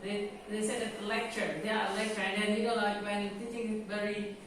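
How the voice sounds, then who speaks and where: A woman's voice: medium pitch, little pitch movement, a little fast. A woman taking part in a teacher training class, speaking hesitantly and searching for her words in English. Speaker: participating teacher. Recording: echoey room, distant mic, hiss.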